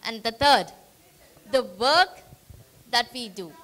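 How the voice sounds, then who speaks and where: A woman runs a live workshop, her voice high and bright. She talks with energy, firing quick questions at the room to draw the crowd in. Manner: energetic, rallying the audience with questions. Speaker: workshop trainer. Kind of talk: interactive training session. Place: meeting hall with a live audience.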